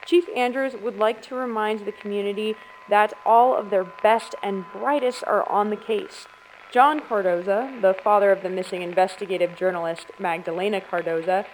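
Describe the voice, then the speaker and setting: Actress playing female public radio host, mid pitch, formal, measured, scripted news delivery, faint noise. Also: close to an everyday voice, poor audio. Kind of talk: scripted radio news report in audio drama.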